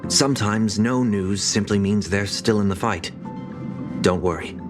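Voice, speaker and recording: An actor playing a composed, courteous gentleman in a video game, gently formal and reassuring. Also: on the low side, unhurried, over music, natural voice. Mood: calm, reassuring. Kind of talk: video game story dialogue.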